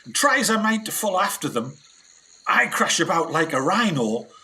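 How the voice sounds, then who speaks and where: Male actor as eager explorer sidekick, high-pitched, very animated, wide-eyed, radio drama. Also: moderate pace, exaggerated voice. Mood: amazed. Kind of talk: radio play acting.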